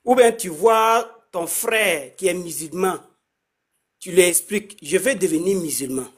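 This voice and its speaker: A man explaining his faith in a casual conversation, halting and repeating himself often. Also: sits high. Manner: halting, repeats words, many restarts.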